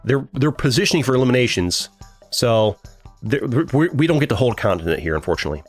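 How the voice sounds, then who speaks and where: A young man with a deep voice casually commenting on his strategy game live, thinking aloud and answering chat. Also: expressive, fast talker, slightly rough, soft background music. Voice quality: deeper voice than usual. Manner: chatty, thinks aloud, reads chat and laughs often. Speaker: streamer.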